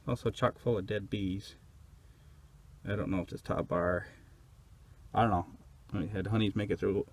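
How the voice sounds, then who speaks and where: A man's voice: deep voice, darkish tone, a little hiss or hum. A man casually narrating as he inspects his beehives outdoors, hesitant and unsure, thinking aloud. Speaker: beekeeper.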